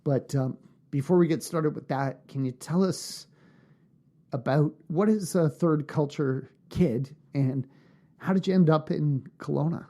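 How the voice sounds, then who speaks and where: A man's voice: on the high side, a little slow, warm tone. A man hosting an interview in his office, asking friendly questions. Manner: friendly questioning, short backchannels. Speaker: host. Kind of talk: casual interview.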